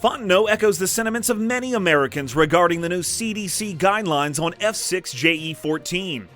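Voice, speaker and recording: Man playing a bright, high-voiced TV news reporter. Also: lively intonation, brisk, faint music behind. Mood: brisk, pushy. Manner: punchy, insistent newscaster delivery. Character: pushy, self-important male TV field news reporter.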